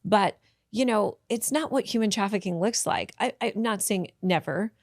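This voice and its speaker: A woman's voice: medium pitch, moderate pace, no echo, clean studio sound. A woman guest telling her life story in a candid, reflective way, often restarting sentences. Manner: frank, reflective, with restarts and soft asides. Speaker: podcast guest, tantra teacher.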